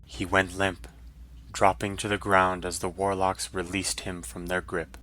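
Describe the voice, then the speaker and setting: A man's voice: deep voice, completely flat delivery, unhurried, fairly bright tone. A man narrating a scripted fantasy story in a dramatic style.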